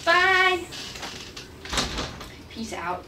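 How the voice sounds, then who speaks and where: High-voiced woman in a roomy, noisy space. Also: sing-song, extremely slow. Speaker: host of a script table read. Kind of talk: casual group chat. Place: echoey room among a chatting group. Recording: roomy, noisy room, distant mic.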